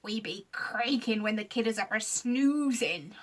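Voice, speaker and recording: A woman's voice: mid-range voice, lively intonation, measured pace. Woman doing Grunt, a hissing grumpy creature. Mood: scornful. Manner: hissing, scolding, broken grammar.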